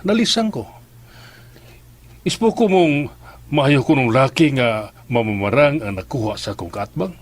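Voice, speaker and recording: An actor playing a fearful, vengeful governor in a horror audio drama. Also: male voice, medium pitch, expressive, a little slow, long pauses, no echo. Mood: frightened. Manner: stammers when frightened. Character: fearful, vengeful male governor hiring a sorceress. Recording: music under the voice.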